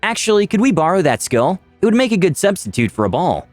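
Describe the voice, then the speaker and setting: A man voicing an earnest, quick-thinking male adventurer in a fantasy story, polite and coaxing as he pleads with a captor. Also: high-pitched, sing-song, dry recording, soft background music, voice pushed up. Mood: polite pleading.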